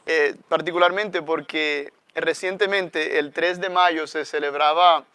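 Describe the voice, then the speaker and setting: Clear, higher-voiced Salvadoran man teaching. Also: steady tone, measured pace, bright, forceful tone, no room sound. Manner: reflective, often adds a questioning "¿no?". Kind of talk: conversational religious teaching.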